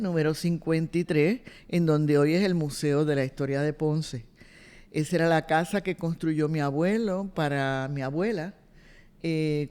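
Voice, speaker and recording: A woman in her seventies with a Puerto Rican accent, recalling her family in an oral history interview, with unhurried fillers. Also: sits low, darkish tone, clean tone. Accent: Puerto Rican Spanish. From Puerto Rico. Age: 70s. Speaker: interviewee, retired cultural director. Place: boardroom.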